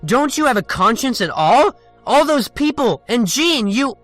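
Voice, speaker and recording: An actor playing a young, hot-headed, anguished superhero in an animated comic, speaking earnestly and emotionally. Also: male voice, extremely high pitch, measured pace, dry recording, soft background music, full character voice. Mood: outraged.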